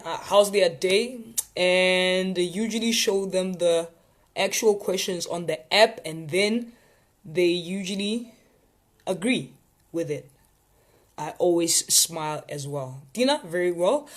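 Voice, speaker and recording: Chatty South African man giving tips. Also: high voice. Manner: rambling, playful, chatty. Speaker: host of a live tips session. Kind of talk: casual live chat with viewers.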